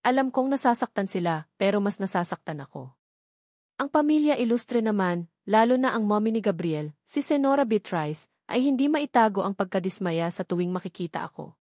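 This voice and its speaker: A woman's voice: mid-range voice, expressive, normal speed, darkish tone, no room sound, studio quality. A woman narrating a scripted first-person love drama as a storyteller.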